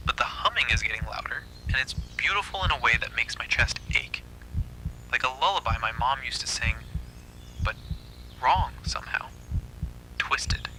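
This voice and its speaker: A man narrating a scripted first-person horror story in a hushed, breathy, suspenseful way. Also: mid-range voice, animated, moderate pace, long pauses, noisy background.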